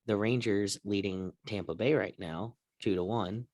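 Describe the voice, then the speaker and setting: A man's voice: lowish voice, normal speed, darkish tone, no echo, clean studio sound. A man co-hosting a casual sports talk show, recapping news and putting questions to the others.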